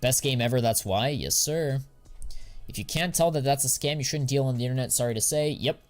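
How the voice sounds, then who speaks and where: A young American man chatting casually on a live stream, answering viewers in a relaxed, slangy way. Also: medium pitch, a little fast, faint music behind. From United States. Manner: slangy and laid-back, drawls filler words.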